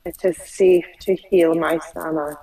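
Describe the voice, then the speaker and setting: Slow, low-voiced woman who stutters. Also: steady tone, dark tone, distant mic, low-quality recording. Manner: repeats phrases slowly after the coach. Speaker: client.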